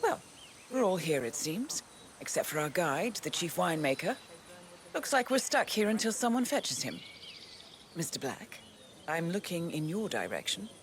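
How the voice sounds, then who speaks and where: A woman's voice: fairly low pitch, big pitch swings, normal speed, slight background noise. A woman playing a composed, drily pointed professional in a video game. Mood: poised, pointed. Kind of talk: video game character acting.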